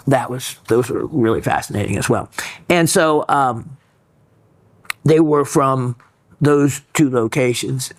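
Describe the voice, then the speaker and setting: A man hosting a gemstone show, reading viewer letters and chatting in a rambling way with many pauses and restarts. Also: mid-range voice, no echo. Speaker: host reading viewer mail. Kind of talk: casual educational chat.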